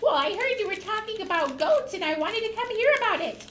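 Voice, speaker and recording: A woman voicing an eager, hungry young goat puppet in a childlike, excitable way for children. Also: very high-pitched, a little fast, reverberant, a little hiss or hum, voice pushed up.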